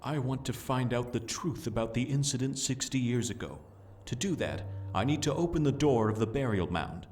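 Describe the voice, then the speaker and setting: A man's voice: medium pitch, lively intonation, normal speed, few pauses, a little mellow, a little hiss or hum, close to an everyday voice. An actor playing an obsessed male researcher who reads out his notes in an earnest, expository way. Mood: driven. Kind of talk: fan manga dub, narrated notes.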